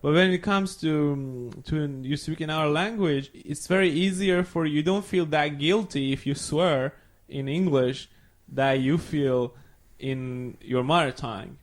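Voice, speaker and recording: A man's voice: fairly high pitch, measured pace, hardly any pauses. A young man from Iran whose first language is Farsi, a podcast guest talking casually and searching for his words in English. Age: young adult. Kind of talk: casual chat.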